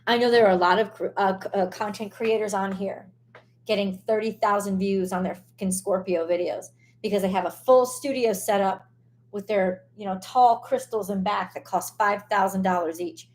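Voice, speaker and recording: A hoarse woman hosting a live tarot stream, talking casually and bluntly, swearing and losing her train of thought as she vents. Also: medium pitch, fairly even intonation, moderate pace.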